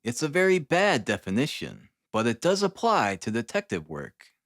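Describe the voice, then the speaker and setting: A man voicing a brilliant, sardonic gentleman detective in an audiobook, speaking with formal, ironic courtesy. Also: mid-range voice, huge pitch swings, moderate pace, no echo, clean studio sound. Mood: courteous, assured.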